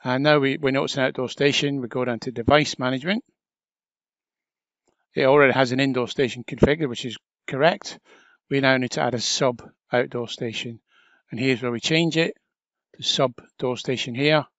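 A man's voice: medium pitch, fairly even intonation, normal speed, fairly bright tone, no room sound. Man giving a casual tutorial, slightly dull sound. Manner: informal, chatty, explanatory. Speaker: trainer. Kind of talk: casual step-by-step software tutorial narration.

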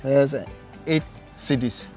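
A middle-aged Ghanaian snail farmer answering questions outdoors, unhurried and explaining step by step. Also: male voice, medium pitch, darkish tone, soft background music. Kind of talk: casual interview answers. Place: outdoors on a farm under trees.

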